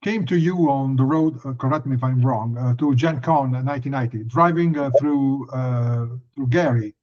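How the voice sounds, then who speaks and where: A man's voice: medium pitch, normal speed, darkish tone, dull audio. A man asking interview questions conversationally, in hesitant, broken-up phrases.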